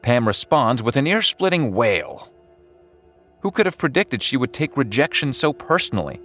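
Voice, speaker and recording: A man's voice: medium pitch, very animated, moderate pace, fairly bright tone. A man reading scripted second-person horror-comedy narration in a wry, dramatic storytelling manner. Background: music under the voice.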